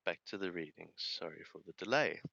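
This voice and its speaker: A man reading scripture aloud and translating it phrase by phrase, in a deliberate, halting way with careful enunciation. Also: sits low, gravelly, bright, forceful tone, no room sound, muffled recording. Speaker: Bible reader and translator.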